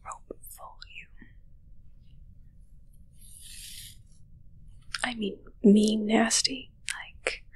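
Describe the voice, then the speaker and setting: A young woman playing a mischievous, fussy witch in a breathy, teasing whispered ASMR roleplay. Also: medium pitch, a bit bright, poor audio. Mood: sly, boastful. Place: small indoor set with curtains. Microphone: close ASMR microphone.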